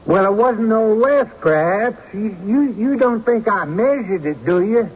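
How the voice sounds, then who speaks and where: A man playing an evasive, defensive rustic witness in a radio courtroom drama, with a folksy, halting, stammering delivery. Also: sits high, lively intonation, slightly rough, heavily acted. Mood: irritated, defensive. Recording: dull, noisy old radio recording.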